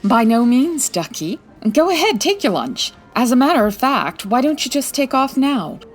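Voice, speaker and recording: A woman's voice: high voice, sing-song, moderate pace, soft background music. A woman playing a confident, wry 1940s private detective in a radio-style comedy drama, breezy and teasing. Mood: brisk, confident. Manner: breezy, teasing, self-assured.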